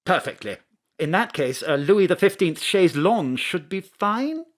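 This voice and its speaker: A woman's voice: lowish voice, expressive, a little slow. A woman playing a jolly, posh, awkwardly flirtatious male diplomat in a comic audio drama. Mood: awkward, smitten.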